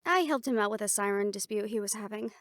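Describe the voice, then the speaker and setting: A woman's voice: mid-range voice, quick pace, no room sound, studio quality, lightly acted. A woman playing a confident, playful siren-banshee warrior in a conversational audio roleplay, talking intimately to the listener. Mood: proud, amused.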